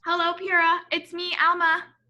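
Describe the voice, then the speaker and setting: A woman voicing an eager, curious little girl in a storybook, earnest and expressive. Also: very high voice, normal speed, dull audio, voice pushed up, heavily acted. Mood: eager, proud. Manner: earnest, expressive, addressing her father.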